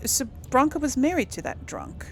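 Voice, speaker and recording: A woman's voice: high voice, wildly swooping pitch, moderate pace, a little mellow, slight background noise. A woman hosting a casual role-play let's play, reacting and thinking aloud in a hesitant way. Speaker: gaming channel host. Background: game music and sounds.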